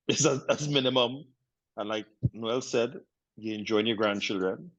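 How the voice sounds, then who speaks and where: A man in his fifties speaking on a panel about his life, relaxed and chatty with frequent restarts. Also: medium pitch, a little slow, no echo, lo-fi sound. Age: 50s. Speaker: panel guest. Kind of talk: casual chat.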